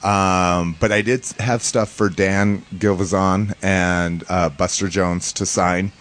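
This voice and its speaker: A man from the United States chatting casually as a podcast host, in a rambling, halting, informal way. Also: low voice, steady tone, fairly bright tone. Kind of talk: casual chat, recounting a fan convention.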